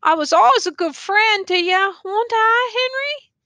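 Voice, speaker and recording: A woman's voice: very high-pitched, lively intonation, a little slow, bright, forceful tone, no room sound, voice pushed up, exaggerated voice. A woman voicing a dying tall male soldier who begs in a rustic drawl, then stubbornly refuses help. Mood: pleading. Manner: rustic drawling dialect, begging.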